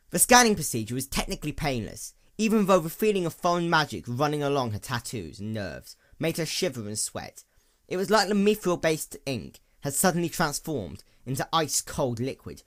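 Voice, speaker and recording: A man narrating scripted fiction as an audiobook, with a dramatic, deliberate delivery. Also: a little high.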